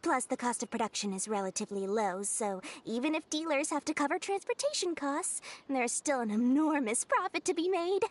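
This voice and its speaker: A woman's voice: high voice, animated, brisk, few pauses. A woman voicing a sly young woman merchant from a game, greedy and playful, with a coy, salesy way of talking. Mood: scheming. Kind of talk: game voice acting. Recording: studio voice over soft game music.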